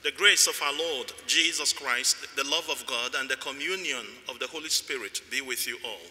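A middle-aged man, a priest, leading a church service in slow, measured liturgical recitation at a lectern mic in a large church. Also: mid-range voice, pushed and bright, a little hiss or hum. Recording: church reverb.

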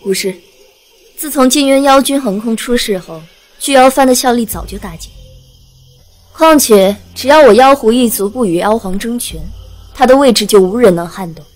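A woman's voice: on the high side, normal speed, natural voice. Woman playing a proud fox demon lord. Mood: steady. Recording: soft music behind.

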